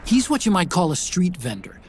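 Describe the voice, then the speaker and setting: An earnest, brainy support ally in a video game, with a fairly high male voice. He briefs articulately and patiently over heavy battlefield noise of artillery and vehicles. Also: big pitch swings, fairly quick. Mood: earnest explaining.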